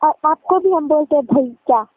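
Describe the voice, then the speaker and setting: A woman's voice: sits high, moderate pace. A young woman, chatting casually and teasingly on a recorded phone call. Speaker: caller. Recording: tinny, band-limited phone call recording.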